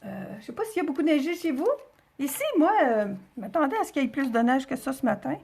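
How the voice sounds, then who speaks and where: A woman's voice: high-pitched, lively intonation, fast, gravelly, darkish tone. A woman with a Quebec French accent hosting a craft tutorial, talking informally step by step and thinking aloud as she goes. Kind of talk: casual step-by-step demonstration.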